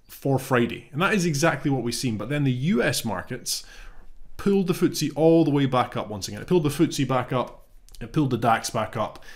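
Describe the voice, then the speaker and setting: A man in his thirties with a slight cold, explaining a trade in a relaxed, thinking-aloud way from his home office webcam. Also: mid-range voice. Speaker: trading educator. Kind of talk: casual explanatory live-trade walkthrough.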